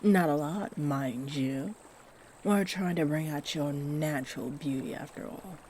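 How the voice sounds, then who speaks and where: A woman voicing a kindly fairy in a fantasy roleplay, gently encouraging and fussing over the listener with sweet endearments. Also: low voice, measured pace, a little hiss or hum. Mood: delighted, encouraging. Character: kindly, doting fairy who gives magical makeovers. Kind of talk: fantasy roleplay audio drama, addressing the listener.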